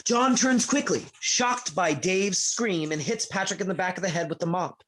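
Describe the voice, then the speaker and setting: A narrator reading stage directions aloud at a comedy table read in a husky voice. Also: mid-range voice, normal speed, background noise. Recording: video call.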